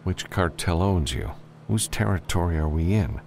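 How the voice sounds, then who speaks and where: Male narrator as a gruff freelance space captain, deep, gravelly, blunt, wary, stern, audiobook storytelling. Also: lively intonation, normal speed, a little mellow, a little hiss or hum. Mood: suspicious.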